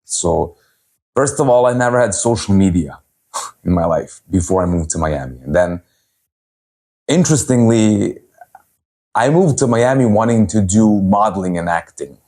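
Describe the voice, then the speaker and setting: A man in his thirties from Serbia, telling his personal story as a holistic health coach at a virtual event in an earnest, unhurried way. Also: on the low side, lively intonation, dark tone. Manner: earnest, unhurried, with frequent pauses and fillers.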